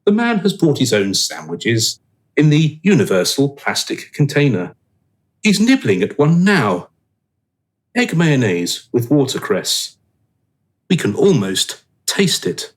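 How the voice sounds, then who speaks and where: A man serves as narrator of a radio play. He sets the scene in scripted prose at an unhurried, measured pace. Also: medium pitch, sing-song.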